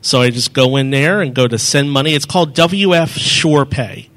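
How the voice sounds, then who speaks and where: A man's voice: mid-range voice, moderate pace, a bit bright. Rambling man explaining a phone demo. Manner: rambling, self-correcting, step-by-step explaining. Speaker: presenter answering audience questions. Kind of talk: live demo talk at a meeting. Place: meeting room with audience. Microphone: handheld mic.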